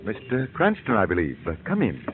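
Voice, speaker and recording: A man's voice: fairly low pitch, wildly swooping pitch, fairly quick, noisy background. A modest, courteous university professor, polite and a little hesitant. His voice comes through a thin, tinny old radio broadcast.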